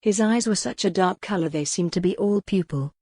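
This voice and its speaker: A woman's voice: medium pitch, fairly quick, a little mellow, breathy edge, no room sound, clean studio sound. A woman reading scripted narration from a historical romance novel as an audiobook narrator.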